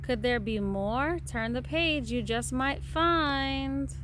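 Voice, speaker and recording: Woman, high voice, patient sing-song read-aloud for a young child, unhurried, faint background noise. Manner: sing-song, patient, pauses to count and point. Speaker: parent reading aloud to a child. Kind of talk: picture book read-aloud to a small child.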